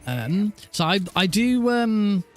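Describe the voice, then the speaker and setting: A man with a naturally high voice, rambling casually and self-deprecatingly as he hosts a gaming livestream. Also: very animated, slow, soft background music. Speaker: livestreaming speedrunner and host. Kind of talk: casual livestream chat over gameplay.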